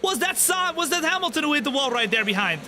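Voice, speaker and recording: A man in his twenties doing live commentary on a racing game while chatting with viewers, excitable during race action and relaxed in between. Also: quick pace, background noise. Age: 20s. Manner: excitable, jumps high when the race action happens, laid-back when chatting. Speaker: sim racing streamer. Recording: game music behind the voice. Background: race car engines and game music.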